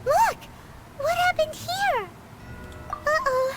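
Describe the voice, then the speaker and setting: A woman voicing a tiny, childlike fairy companion in an anime-style game, squeaky, excitable and plucky. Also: slow pace, loud music behind the voice, full character voice. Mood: alarmed. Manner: excitable, exclaiming.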